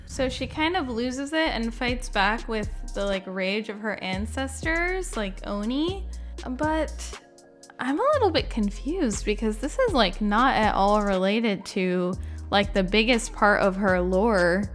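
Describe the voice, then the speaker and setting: A woman's voice: fairly high pitch, lively intonation, a little slow, faint music behind. A woman giving casual, informal reaction commentary on video clips, rambling and leaning on filler words like "like". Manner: informal, rambling, heavy use of "like". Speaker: gaming video creator.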